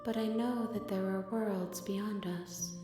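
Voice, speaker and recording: A woman voices an ancient female entity from beyond the world in a dark game. Her words are slow, calm and cryptic, ringing with echo over music. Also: mid-range voice, close to an everyday voice. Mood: serene, solemn. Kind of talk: video game voice acting.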